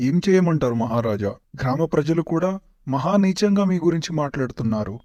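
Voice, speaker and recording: A man's voice: fairly high pitch, animated, fast, dark tone, no room sound, close to an everyday voice. A man voicing a scheming royal servant in a cartoon parody, blunt and matter-of-fact. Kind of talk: animated parody comedy voice acting.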